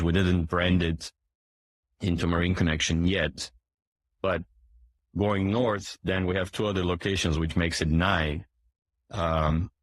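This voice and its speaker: A man in his forties who grew up in Turkey, a boat dealership owner answering interview questions in a hesitant way, with many fillers and restarts and frequent throat clearing. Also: deep voice, no room sound.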